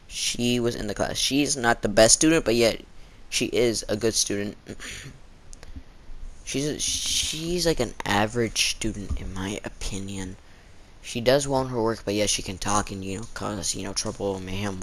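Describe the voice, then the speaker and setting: A man's voice: lowish voice, normal speed, fairly bright tone. Rambling teenage guy telling a story. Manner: rambling, acts out quoted exchanges. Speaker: storytelling vlogger. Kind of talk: casual storytime chat.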